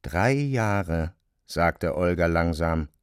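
A man giving a measured, expressive reading as the narrator of a literary drama. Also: low-pitched, clean tone, dry recording, clean studio sound.

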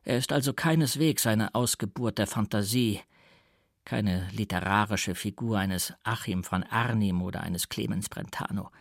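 A man voicing a reserved, melancholy count in an audiobook, measured, formal and subdued. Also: on the low side, gravelly, no room sound, clean studio sound. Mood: wry musing. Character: melancholy, reserved count musing and feigning another's identity. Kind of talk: audiobook character voice.

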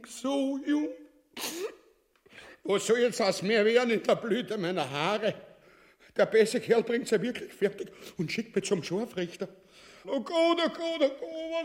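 An actor playing a cowardly, greedy, foul-mouthed tyrant in a grotesque stage farce, with a Viennese accent and whiny, blustering delivery. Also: male voice, very high voice, unhurried. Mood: whimpering panic. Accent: Viennese Austrian.

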